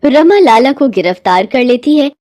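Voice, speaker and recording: A woman's voice: high-pitched, expressive, moderate pace, dark tone, a little hiss or hum. A woman narrator reading a scripted moral bedtime story.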